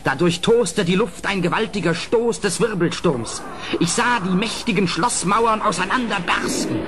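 An actor playing a horrified gentleman who tells a gothic tale in an audio drama, theatrical and urgent, swelling and falling hushed. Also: fairly high pitch. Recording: slightly noisy old studio recording.

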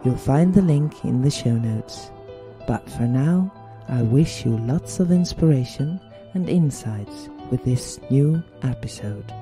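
A woman with a Dutch accent, a coach and podcast host, speaking in a calm, careful, reflective solo monologue. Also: a bass voice, slow. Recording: soft music underneath.

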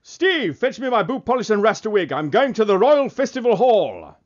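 A man playing a suave, decisive British gentleman detective in a parody of old radio drama. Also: sits high, wildly swooping pitch, normal speed. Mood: hearty. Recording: muffled vintage radio, music behind.

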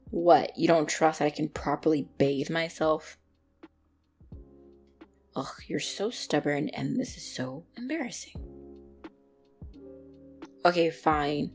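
Woman acting a bratty tsundere catgirl, very expressive. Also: on the low side, full character voice. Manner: indignant, defensive, stammering when flustered. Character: bratty, flustered young female tsundere catgirl. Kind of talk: ASMR roleplay acting addressed to the listener.

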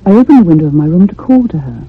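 An actress playing a curious, insistent convalescent lady who narrates in a measured literary way in a radio drama. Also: fairly low pitch, sing-song, slightly rough. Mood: reflective, measured. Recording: muffled, phone-like old radio sound.

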